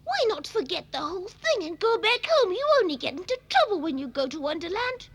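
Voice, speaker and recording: A little boy bunny from a cartoon. The voice is extremely high and childlike, with an anxious, worrying manner and a cheeky streak. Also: very animated, brisk, exaggerated voice. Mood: reluctant. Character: timid, worrying, wisecracking little male pet rabbit. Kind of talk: animated cartoon dub acting.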